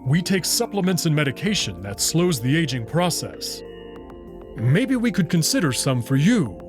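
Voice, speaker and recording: A big young wolf man played by a male actor, caring and teasing, with a lively, somewhat raised voice. He is a visual novel character, and music plays beneath him. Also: fairly high pitch, normal speed. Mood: earnest, concerned.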